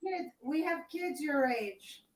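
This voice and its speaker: A woman in her sixties on a live panel, making soft, agreeable asides. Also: high-pitched, lively intonation, slow, poor audio. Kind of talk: casual live panel chat.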